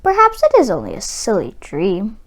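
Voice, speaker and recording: A woman voicing a lonely, gentle young cowherd man, wistful and soft-spoken, in a children's storybook. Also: medium pitch, huge pitch swings, normal speed, slightly rough, pitched below the performer's own voice, exaggerated voice. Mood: musing. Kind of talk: storybook read-aloud for children.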